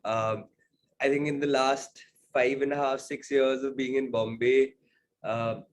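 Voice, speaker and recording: A man's voice: mid-range voice, level tone, a little slow. A man, a singer-songwriter answering interview questions in a reflective way, with many fillers.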